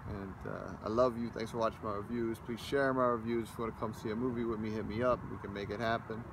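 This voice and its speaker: A man's voice: lowish voice, level tone, quick pace. Rambling man filming himself outdoors. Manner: rambling, loose, many fillers and restarts. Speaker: amateur movie reviewer. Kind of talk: casual selfie video review. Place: outdoors in a parking lot. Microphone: phone camera held close at arm's length. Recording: outdoor phone recording with clear background noise. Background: outdoor ambience with passing vehicles.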